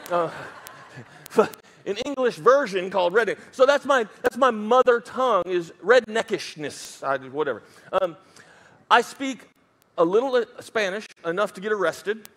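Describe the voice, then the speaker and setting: A man's voice: sits high, lively intonation, unhurried, fairly bright tone. A man with a Southern US accent preaching a sermon as pastor, emphatic and dropping joking asides.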